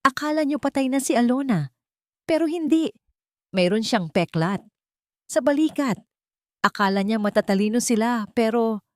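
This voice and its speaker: Drunk, loud, boastful woman, voice pitched up high, played by a female narrator. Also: expressive, moderate pace, long pauses, no echo, clean studio sound, lightly acted. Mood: drunk boasting. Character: drunk loud young woman spilling a secret.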